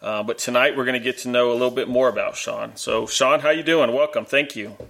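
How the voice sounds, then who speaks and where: Male podcast host, mid-pitched voice, friendly, easygoing interviewer. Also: fairly even intonation, brisk, vocal fry, a bit bright. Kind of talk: casual interview chat.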